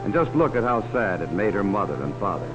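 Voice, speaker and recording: A man's voice: fairly low pitch, big pitch swings, fast talker, over loud music. A man playing a cautionary narrator of a 1950s educational film, talking directly to children in a folksy way. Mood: grave, instructive. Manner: folksy, direct address to children with leading questions. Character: cautionary male adult narrator warning children about strangers. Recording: dull, hissy 1950s film soundtrack.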